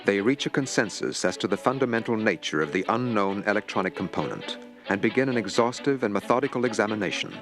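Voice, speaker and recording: A man narrating a mock documentary in a measured, formal, newsreel-like way. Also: on the low side, lively intonation, music in the background. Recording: narration over a clear film score.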